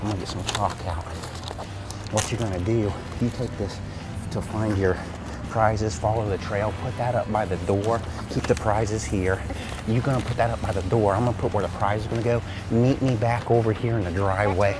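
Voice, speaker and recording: A man's voice: on the low side, fairly even intonation, moderate pace, background noise. A man hosting a kids' gym video, chatting casually and playfully as he gives a helper instructions. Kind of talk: casual chat while setting up a prank.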